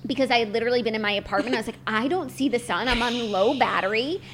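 A woman's voice: fairly high pitch, quick pace, background noise. A woman hosting a book podcast, chatting casually with many "like" fillers. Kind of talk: casual chat.

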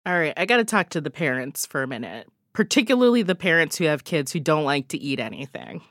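A woman's voice: low voice, big pitch swings, quick pace, fairly bright tone, no room sound, studio quality. A woman guest on a radio podcast, recommending events in an upbeat way and laughing often. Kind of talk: casual radio chat.

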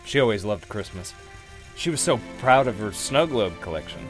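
A man playing a hesitant, guilt-ridden widowed husband confiding in an audio drama, with halting delivery. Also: fairly low pitch, expressive, natural voice. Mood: wistful, sad. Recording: background music under the voice.

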